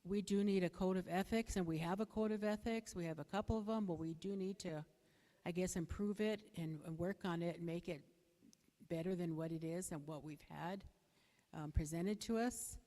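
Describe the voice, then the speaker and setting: A middle-aged woman with a soft voice giving a hesitant, plain-spoken, unscripted answer as a candidate at a public forum. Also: lowish voice, moderate pace. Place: bingo hall, public forum over a PA. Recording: echoey hall with long reverb.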